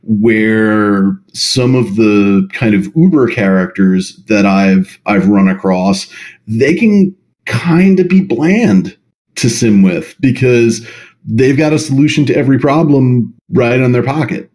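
A man's voice: mid-range voice, slow pace, darkish tone. A man answering as a podcast guest, explaining things at length in a thoughtful, hesitant conversational way. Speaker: podcast guest, role-play writer.

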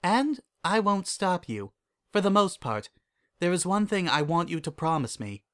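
A man voicing a calm, kindly female pony princess and mentor in a storybook reading, speaking in a gentle, measured, regal way. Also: sits high, voice pushed up. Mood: calm, reassuring. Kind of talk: storybook character dialogue.

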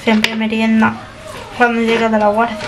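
A woman's voice: fairly high pitch, completely flat delivery, normal speed, background noise. A young woman, chatting to camera in a rambling way as she narrates her chores in a small kitchen. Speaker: family vlogger. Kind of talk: casual vlog chat to camera. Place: small home kitchen indoors.

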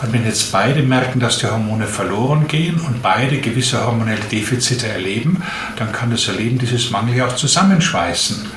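A man in his late fifties giving measured explanations as a doctor being interviewed. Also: medium pitch, animated. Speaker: interviewed physician. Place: small indoor room, seated at a desk. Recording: echoey room with clear background noise.

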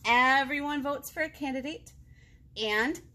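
An American woman with a high voice reads and chats as a children's librarian. She speaks expressively and keeps her phrasing simple, as if to a group of young children. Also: a little slow, bright, forceful tone. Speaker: children's librarian hosting storytime. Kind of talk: children's storytime read-aloud and chat. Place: small indoor room, seated before a book display.

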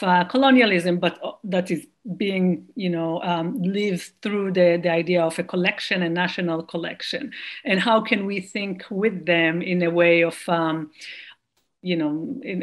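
Woman w/ a foreign accent on a video call, lots of ums and restarts, middle pitch. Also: a bit bright. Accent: non-native English. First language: not English. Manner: hesitant, many fillers and restarts. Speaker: performance artist and lecturer. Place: dark room at home. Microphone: video call laptop mic.

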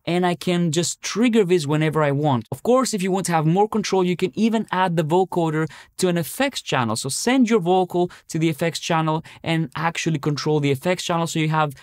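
A man's voice: fairly high pitch, brisk, few pauses, dry recording, clean studio sound. A man in his thirties explaining music production step by step in a conversational tutorial style. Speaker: tutorial presenter. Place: small home-style music studio, indoors.

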